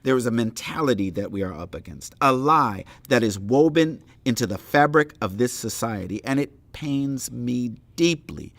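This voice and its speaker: An actor playing an indignant intellectual leader in a formal audio play debate, speaking in eloquent, accusatory oratory. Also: male voice, medium pitch, lively intonation, normal speed, hardly any pauses, a bit bright, dry recording. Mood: accusing.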